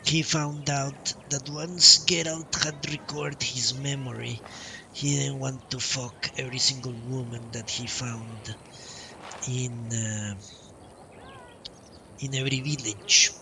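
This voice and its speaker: A man streaming a video game, telling a long story casually in a halting, drawn-out way. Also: medium pitch, little pitch movement, creaky, background noise. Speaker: game livestreamer. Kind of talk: casual rambling storytelling while playing a game. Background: video game music.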